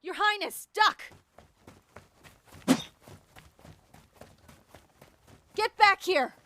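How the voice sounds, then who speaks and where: A woman in an audio drama playing a loyal royal guard captain, brisk, alert and protective. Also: very high-pitched, bright, forceful tone, slight background noise, exaggerated voice. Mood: alarmed, shouting orders.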